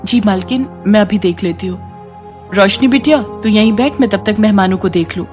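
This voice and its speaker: A woman voicing a humble, anxious housemaid and caring mother in a storybook tale, meek and gentle. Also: mid-range voice, normal speed, soft background music, natural voice. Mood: meek, pleading.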